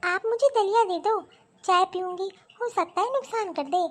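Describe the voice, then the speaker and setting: A polite young mother from a cartoon family story, played by a woman. She has a high, plaintive voice and a meek, deferential way of speaking. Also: normal speed. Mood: meek pleading. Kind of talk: animated Hindi family drama dialogue.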